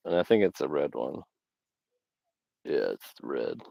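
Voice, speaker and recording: Low gravelly young man livestreaming. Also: big pitch swings, unhurried, mellow, no echo, studio quality. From Georgia, US South. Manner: rambling, filler-heavy, laughs at himself. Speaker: livestream host. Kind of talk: casual livestream chat. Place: outdoors.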